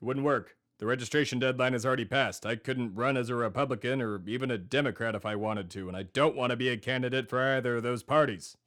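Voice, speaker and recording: A man's voice: medium pitch, fast talker, few pauses, fairly bright tone, natural voice. Male actor as self-important New England lobbyist turned podcast host, blue-blooded and declamatory, comedy audio. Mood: indignant. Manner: pompous, indignant, declamatory. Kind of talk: scripted comedy podcast acting.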